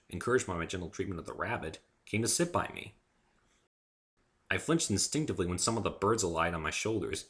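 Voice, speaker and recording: A man's voice: low-pitched, sharp tone. A man reading a first-person story aloud as a dramatic narrator.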